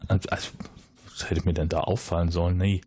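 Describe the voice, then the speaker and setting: A man's voice: flat delivery, quick pace, mellow, breathy edge. A man doing a flustered, rambling suspect. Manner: rambling, hesitant, many fillers, jumps up in pitch when flustered. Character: flustered, evasive male base resident, rambling and awkward.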